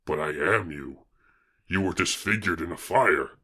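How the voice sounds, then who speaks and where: A man voicing an anguished, desperate swamp monster in a dramatic comic-recap reading, with a husky, gravelly voice. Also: sits low, measured pace, bright, forceful tone, pitched below the performer's own voice, full character voice. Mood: defiant.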